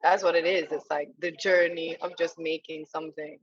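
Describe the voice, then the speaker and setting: A woman, a festival director, interviewing warmly, an enthusiastic talker who searches for her words and often starts over. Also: sits low, level tone, moderate pace, no echo, dull audio. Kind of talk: casual interview chat.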